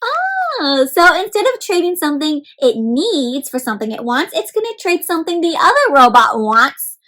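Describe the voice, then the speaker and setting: A woman's voice: very high voice, very animated, moderate pace, pushed and bright. A woman hosting an interactive picture-book read aloud for children, talking directly to them and asking encouraging questions.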